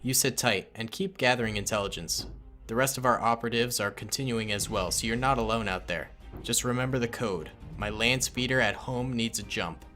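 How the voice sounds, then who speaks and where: A man's voice: medium pitch, a little fast, pushed and bright, faint music behind. A man narrating a fan-made war story and voicing several soldiers in dialogue. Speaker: narrator and voice of most characters. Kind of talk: fan-made cutscene narration with character voices.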